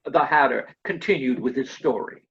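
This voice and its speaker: A woman's voice: rumbling low, normal speed, gravelly. A woman reading scripted narration for a readers' theater storybook piece, over dull lo-fi audio.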